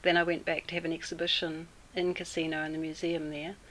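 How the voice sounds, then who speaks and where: A woman with a New Zealand accent recounts a personal story at an easy pace, pausing often with small fillers. Her voice sits a little low. A faint hiss of background noise runs under the recording. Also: level tone, a bit bright, low-quality recording. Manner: unhurried, with many fillers and pauses. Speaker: interviewee. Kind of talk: casual storytelling.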